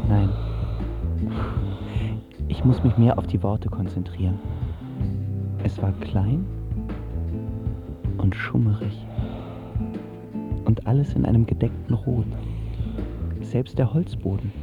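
Slow, creaky-voiced lovestruck young guy. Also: fairly low pitch. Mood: wistful, reflective. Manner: halting, reflective, colloquial asides. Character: lovestruck, awkward young man recalling first love. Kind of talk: radio drama, intimate confessional narration. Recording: muffled sound under loud music.

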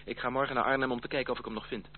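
An actor playing a courteous, ardent gentleman suitor in a Dutch radio drama, with a formal, gallant way of speaking. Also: mid-range voice, fairly even intonation, rapid-fire, close to an everyday voice. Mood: concerned. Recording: tinny, noisy old radio play recording.

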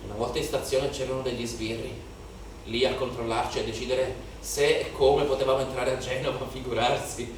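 A man's voice: mid-range voice, little pitch movement, fairly quick, recorded from a distance, close to an everyday voice. Actor as young male rioter, very echoey hall. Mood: hushed, secretive. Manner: incantatory, repeating phrases in lists. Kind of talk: theatrical stage monologue. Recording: echoey, noisy live theatre recording from afar.

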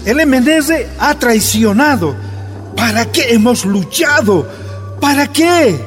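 A man's voice: very high-pitched, expressive, takes it slowly, soft background music, full character voice. An actor playing a hot-tempered, threatening working-class Bolivian father in a radio drama. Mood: outraged.

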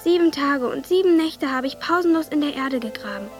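A woman's voice: high voice, lively intonation, brisk. A woman acting a caring young village girl in a radio drama, a patient storyteller who coaxes and urges. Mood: storytelling. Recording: studio radio drama with music.

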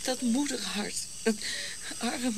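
An actress playing a sentimental, persistent lady visitor in a radio drama, soft-hearted and coaxing. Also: on the high side, slow. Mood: pitying. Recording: clear hiss and insect-like night noise.

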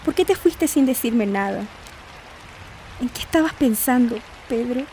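Woman as reproachful lover, slightly high voice, earnest radio play acting, faint rain behind. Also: expressive, a little slow, mellow, rough recording. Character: wounded, reproachful woman confronting her old love. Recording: faint noise, rain ambience. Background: rain falling.

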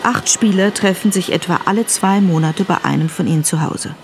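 An actress as low-voiced female crime-thriller narrator. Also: brisk, lightly acted. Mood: matter-of-fact. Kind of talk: scripted radio drama narration. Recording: clear ambient background noise.

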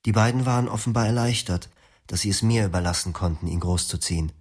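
First-person narrator for a radio drama: low, husky, reflective male voice. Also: quick pace, darkish tone. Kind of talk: scripted memoir narration. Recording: music behind.

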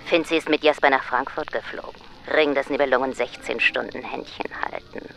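An actor playing a taunting, cryptic anonymous caller in a radio play, theatrical and menacing. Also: male voice, medium pitch, fairly even intonation, fairly quick, creaky, fairly bright tone, faint music behind, low-quality recording. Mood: sly, taunting. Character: taunting mysterious anonymous male phone caller, menacing riddles. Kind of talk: German radio play acting.

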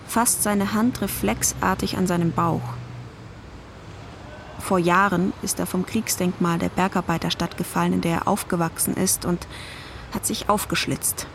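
A woman's voice: on the low side, a little hiss or hum. A woman giving a scripted dramatic narration in the third person, as the narrator of a stage play.

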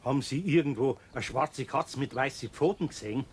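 A man's voice: medium pitch, fairly quick, close to an everyday voice. A man playing a patient, good-natured older craftsman with a Bavarian accent and a mildly grumbling manner in a children's radio play. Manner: homely, soothing, mildly exasperated.

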